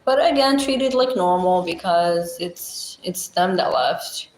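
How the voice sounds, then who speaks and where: Moroccan-accented woman, livestream host, deep-voiced, blunt and chatty, unhurried, roomy echo. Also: expressive, darkish tone, a little hiss or hum. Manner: chatty, blunt, laughs often, thinks aloud. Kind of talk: casual livestream chat reading comments.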